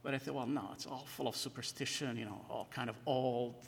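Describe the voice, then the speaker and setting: Gravelly man, halting testimony in a hall. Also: mid-range voice, steady tone. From Kurdistan, northern Iraq. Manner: hesitant, with frequent fillers and restarts. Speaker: church member giving testimony. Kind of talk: personal testimony. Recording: long hall reverb.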